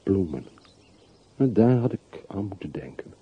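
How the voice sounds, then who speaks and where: A man's voice: low voice, very animated, a little slow, breathy edge, heavily acted. An actor playing a bitter, world-weary middle-aged man in a radio play, speaking tersely and broodingly on an old recording. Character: bitter, world-weary man revisiting his hometown's dead. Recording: muffled, phone-like, noisy old radio recording.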